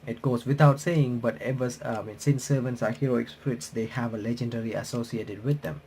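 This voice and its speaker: A man's voice: fairly low pitch, creaky, dark tone, slight background noise. A man doing a game playthrough, reading on-screen text aloud with casual commentary, often stumbling and correcting himself. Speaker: host of a game playthrough and reaction channel.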